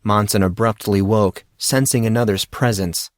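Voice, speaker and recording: Guy narrating a novel, lowish voice, takes his time, very dry recording. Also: moderate pace, no room sound. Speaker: story narrator. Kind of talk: scripted fiction narration, audiobook.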